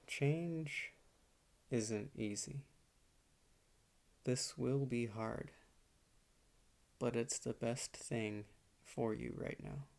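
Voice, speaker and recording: A man playing a calm, probing psychotherapist in an ASMR roleplay, guiding a dream session in a soothing, deliberate, hypnotic manner. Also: medium pitch, gravelly. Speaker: ASMR performer.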